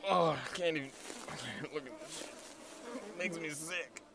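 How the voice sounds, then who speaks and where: A man's voice: a little high, normal speed, noisy background, natural voice. A man playing a naive, squeamish deputy in an audio drama, uneasy and full of questions. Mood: shocked, queasy.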